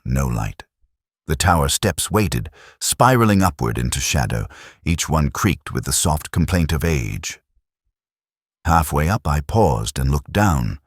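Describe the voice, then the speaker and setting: Male actor for brooding detective narrator in a radio mystery: very deep, expressive pitch movement, measured and reflective, dry sound. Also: husky, dry recording, heavily acted. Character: brooding, lonely, reflective male police inspector. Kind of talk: scripted first-person mystery narration.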